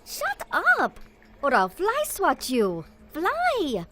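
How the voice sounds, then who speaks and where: A proud young girl singer from a cartoon, played by a woman, bristles quickly and defiantly. The voice sits fairly high and leaps around, though the pace is unhurried. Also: huge pitch swings, a bit bright, slight background noise, full character voice. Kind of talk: animated film voice acting.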